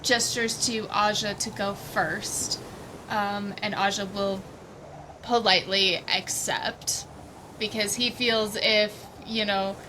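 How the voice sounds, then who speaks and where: A woman chatting casually as a player in a tabletop roleplaying game, hesitant and thinking aloud. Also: mid-range voice. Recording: clear background noise.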